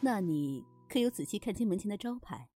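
A woman's voice: mid-range voice, animated, a little fast, dark tone, close to an everyday voice. A woman playing the mysterious, shrewd mistress of a spirit inn in a Chinese fantasy radio drama, teasing and commanding. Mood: calm, probing. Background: background music.

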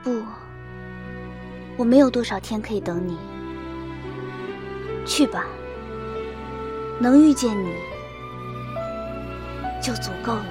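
A woman's voice: a little high, animated, takes it slowly, pauses often. A woman playing a tender, wistful young woman in a sci-fi radio drama. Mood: sad, resigned. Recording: music bed under the voice.

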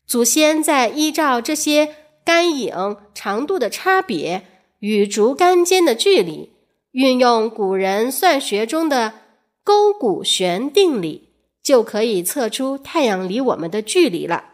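A woman's voice: on the high side, very animated, measured pace. A woman storyteller reading scripted educational narration for children, speaking directly to young listeners in a teacherly, questioning manner. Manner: addresses young listeners directly with rhetorical questions and soft sentence particles.

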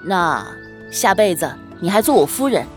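A woman playing a doting, playful young husband in a Chinese period audio drama, with an eager, expressive way of talking. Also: lowish voice, normal speed, soft background music. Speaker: voice actor in an amateur audio drama.

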